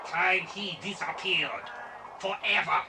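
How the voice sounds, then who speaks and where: A man's voice: sits high, steady tone, slow pace, creaky, background noise, heavily acted. A man playing a ruthless, smug gun smuggler in a 1980s audio drama. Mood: smug, menacing. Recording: distant voice on old tape, loud music.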